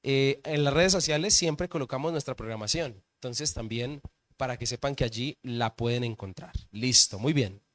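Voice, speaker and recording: A man's voice: medium pitch, moderate pace, a bit bright. A man, a pastor preaching at a church service, telling a personal story in a conversational way and often asking the congregation questions.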